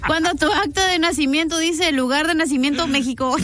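A woman's voice: high-pitched, fairly even intonation, a little fast, a bit bright. A woman co-hosting a radio show who chimes in with jokes, giggling as she talks. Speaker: radio co-host.

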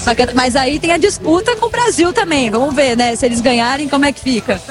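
A woman's voice: high-pitched, expressive, fast. Female street reporter, formal live delivery, music playing behind. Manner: clear, formal news delivery. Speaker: field reporter. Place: outdoors in a city neighborhood.

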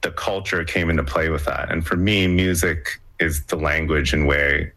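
A young man with an extremely low, deep voice talks about his music in a relaxed interview. He hesitates often, restarting sentences and filling pauses as he searches for words. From Hong Kong. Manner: hesitant, many fillers and restarts. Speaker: musician guest. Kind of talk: casual interview talk.

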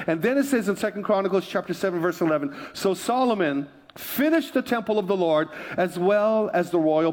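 A male pastor from Hawaii preaching a sermon, reading scripture slowly and calling out to the congregation. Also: high-pitched.

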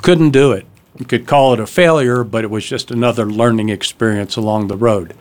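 An older man answers a radio interview from a studio in a middle-pitched voice. He talks like a storyteller, with some pauses and hesitations. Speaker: author and guest.

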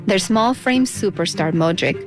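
A woman's voice: medium pitch, expressive, normal speed, music in the background. Reflective woman narrating a personal essay. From United States. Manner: reflective, intimate storytelling. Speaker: narrator and reporter.

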